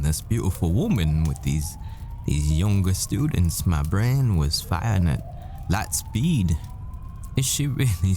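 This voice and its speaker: Slow, very low-voiced, wistful boyfriend played by a man. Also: warm tone, heavily acted. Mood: wistful, amazed. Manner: slow, halting, intimate, wistful. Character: desperate, tender boyfriend, a secretive professor and physicist. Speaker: audio roleplay performer. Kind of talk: intimate ASMR-style dramatic roleplay monologue. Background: music.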